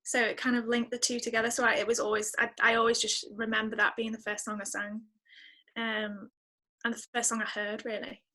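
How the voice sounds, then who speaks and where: A woman's voice: on the high side, little pitch movement, fairly quick, bright, forceful tone. A woman with a Northern English accent chatting casually and informally as an interview guest. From northern England. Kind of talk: casual chat.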